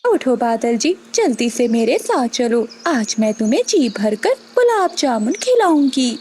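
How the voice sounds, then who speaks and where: A woman's voice: on the high side, very animated, unhurried, dark tone, breathy edge, full character voice. A woman voicing a sly, boastful female crow in a children's cartoon, scheming and exclamatory. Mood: greedy, eager. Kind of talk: Hindi children's cartoon voice acting.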